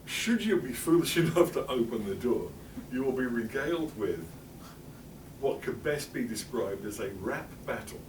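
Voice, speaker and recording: A man's voice: medium pitch, lively intonation, normal speed, slight background noise. A middle-aged English man giving a chatty, wry talk in a library, full of fillers and asides. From England. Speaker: presenter. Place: public library meeting room. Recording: roomy hall, speaker far from mic.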